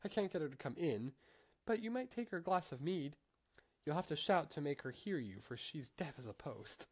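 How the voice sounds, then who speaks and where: A man voicing a sly young peasant who talks quickly. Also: a little high, expressive, darkish tone, slight background noise, voice pushed up, close to an everyday voice.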